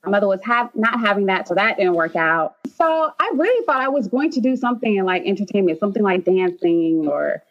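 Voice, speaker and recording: A woman's voice: medium pitch, brisk, few pauses. Chatty woman from Haiti, lo-fi sound. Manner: chatty, many fillers like "um" and "like". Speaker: guest, nurse practitioner. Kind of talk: casual interview.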